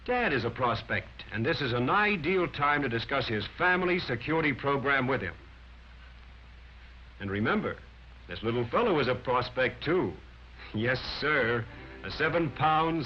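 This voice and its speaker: Male narrator, 1940s instructional film. Lively swooping delivery, emphatic and instructive, muffled vintage soundtrack with faint music. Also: mid-range voice.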